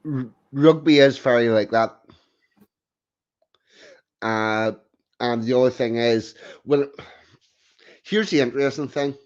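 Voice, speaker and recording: A man's voice: mid-range voice, slow, pauses often. A man hosting a casual chat, giving blunt opinions with frequent restarts. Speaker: host. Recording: dull lo-fi sound.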